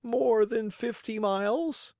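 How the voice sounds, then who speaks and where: A storyteller voicing a kindly, helpful talking pigeon in a high voice with a measured, gently instructive manner. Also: gravelly, dry recording, studio quality. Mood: informative, grave. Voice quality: high-pitched.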